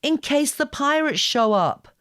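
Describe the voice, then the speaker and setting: A woman voicing a feisty, proud teenage gargoyle girl in a storybook reading, insistent and excitable. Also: high-pitched, a little slow, dry recording, studio quality, pitched above the performer's own voice. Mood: fierce, urgent.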